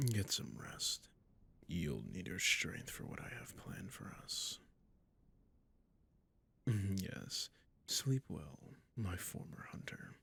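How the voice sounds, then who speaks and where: A man playing a sadistic, possessive vampire master in an intimate ASMR roleplay, speaking in a breathy, husky, purring and condescending way, often in a whisper. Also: low-pitched, sharp tone, exaggerated voice. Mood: soothing, possessive.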